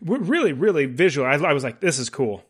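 A man's voice: on the high side, big pitch swings, fast, breathy edge. A man co-hosting a casual movie podcast, speaking informally and repeating words for emphasis. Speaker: podcast co-host.

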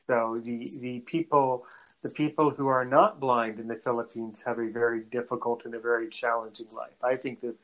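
A man from North America hosting a podcast interview, speaking in a halting way and often repeating words before finishing a thought. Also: mid-range voice, fairly even intonation, rough recording. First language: English; French is his second language. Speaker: podcast host. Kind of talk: conversational interview.

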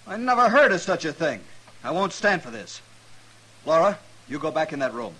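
An actor playing a domineering, possessive villain in a 1950s radio western, blustering and demanding. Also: male voice, on the high side, sing-song, normal speed, bright, forceful tone, slightly rough, full character voice, rough recording. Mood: outraged, commanding.

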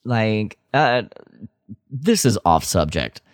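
A man's voice: fairly low pitch, very animated, slow, no echo, studio quality. A man co-hosting a podcast in casual chat, speaking emphatically and with enthusiasm. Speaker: podcast co-host.

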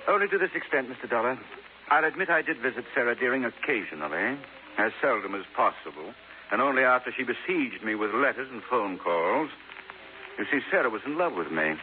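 A man's voice: medium pitch, lively intonation, brisk, phone-quality sound, faint music behind, close to an everyday voice. A man playing a haughty, evasive former movie star in a 1950s radio drama, theatrical and amused in his condescension. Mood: smug, evasive. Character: vain, disdainful male former film star, smooth evasive suspect. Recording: thin, hissy 1950s radio recording.

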